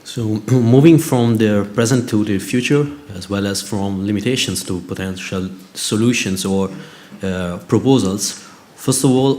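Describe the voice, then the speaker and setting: A man from Italy giving a formal conference presentation in a measured way. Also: deep voice.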